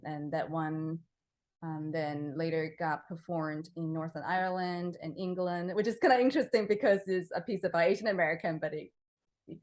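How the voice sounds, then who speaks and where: A young woman from Taiwan, a composer answering interview questions in a relaxed, hesitant way, with many fillers, restarts and frequent laughter. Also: fairly low pitch.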